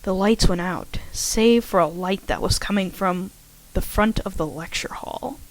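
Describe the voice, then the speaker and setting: A woman's voice: mid-range voice, a little slow, poor audio. A woman reading fan fiction aloud, a little shaky, on a home microphone. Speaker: fanfiction reader. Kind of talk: amateur audiobook narration.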